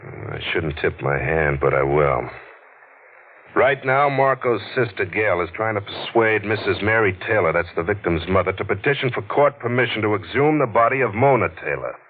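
A man's voice: fairly low pitch, expressive, normal speed. A man with an American accent playing a wry, stubborn newspaper reporter who narrates in slangy 1940s radio noir style. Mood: dry, stubborn. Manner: slangy, sardonic. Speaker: lead actor and narrator. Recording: tinny, noisy old radio broadcast.

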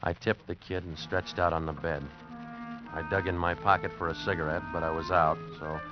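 Give the part to a man acting a cynical, down-on-his-luck drifter with a low, hard-boiled voice. He tells his tale wryly, in old radio adventure style. The sound is muffled and vintage, with music behind. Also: flat delivery, brisk, close to an everyday voice. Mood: weary, intrigued. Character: world-weary cynical broke actor narrating his story. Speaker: actor in a radio drama. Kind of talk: 1950s radio adventure drama narration.

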